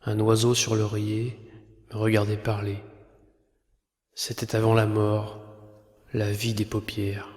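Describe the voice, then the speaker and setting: A man recites verse in a low, flat voice. He is solemn and measured, leaving pauses between phrases. The sound has a long reverb, with ambient noise behind him. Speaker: poet narrator. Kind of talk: poetry recitation over a film.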